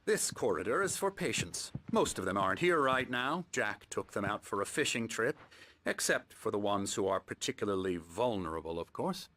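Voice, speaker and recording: A man playing a middle-aged psychiatrist in a video game, calm and measured, patronizing as he soothes patients at his clinic. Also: fairly low pitch, a little hiss or hum. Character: calm, smooth, condescending male psychiatrist running a clinic. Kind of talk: video game cutscene acting. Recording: music playing behind the voice.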